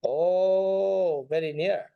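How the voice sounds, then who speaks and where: A man's voice: high voice, extremely slow, clean tone, dry recording, dull audio. A man teaching an informal online class as a Buddhist monk, speaking hesitantly and often repeating phrases. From Nepal.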